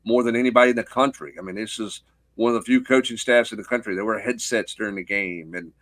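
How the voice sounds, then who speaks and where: Middle-aged male sports analyst, lowish voice, explanatory and off the cuff, dry studio-tight sound. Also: quick pace, a bit bright, dry recording. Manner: explanatory, punctuated with rhetorical questions and check-in tags. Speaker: sports journalist guest.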